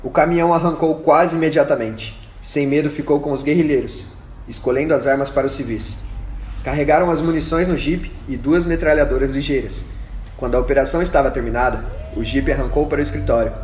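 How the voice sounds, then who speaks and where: Man, scripted radio drama narration, medium pitch, echoey recording, faint background noise. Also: brisk, darkish tone.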